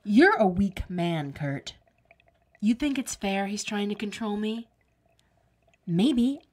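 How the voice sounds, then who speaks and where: A woman's voice: medium pitch, lively intonation, slow, darkish tone. An actress playing a bitter, wounded woman kept alive as a severed head in an audio drama, with a cutting, sarcastic delivery. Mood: scornful.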